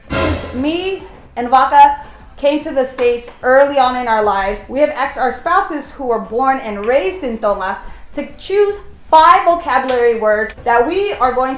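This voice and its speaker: A woman's voice: high-pitched, echoey room, poor audio. A woman from Samoa hosting a playful family vocabulary game in a casual home vlog. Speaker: vlog host.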